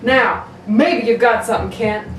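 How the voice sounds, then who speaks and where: A woman's voice: on the high side, normal speed, faint music behind, natural voice. A woman playing a skeptical, terse male police inspector in an amateur 1940s-style radio play. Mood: skeptical, official. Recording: distant echoey room, noisy.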